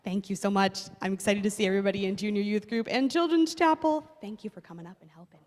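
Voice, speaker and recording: A woman's voice: mid-range voice, quick pace, music in the background. A woman leading a playful children's segment in a church service, speaking in an upbeat, friendly way. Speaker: children and youth program leader. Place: church sanctuary. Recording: long church reverb.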